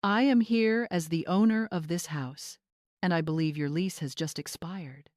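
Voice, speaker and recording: A woman voicing a regal older mother of the groom, calm, measured and authoritative. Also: fairly low pitch, no room sound, clean studio sound, lightly acted. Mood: calm authority.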